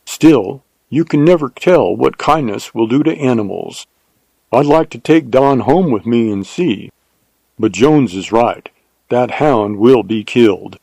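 Man voicing a grave, measured frontier rancher. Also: mid-range voice, expressive, gravelly, warm tone, breathy edge, no room sound, full character voice. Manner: sonorous, measured. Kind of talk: audiobook character dialogue.

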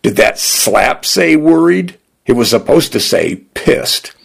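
Man doing a dry teasing woman, raised husky voice, gravelly. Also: medium pitch, sing-song, slow pace, pitched above the performer's own voice, exaggerated voice. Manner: blunt, teasing. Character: blunt sharp-tongued young woman thief.

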